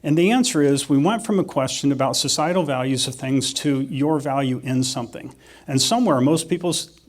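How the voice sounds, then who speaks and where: A man at a mid-range pitch gives an economics presentation in a relaxed, conversational manner. He works through ideas out loud and drops dry, self-deprecating jokes along the way. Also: a little fast. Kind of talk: conference presentation.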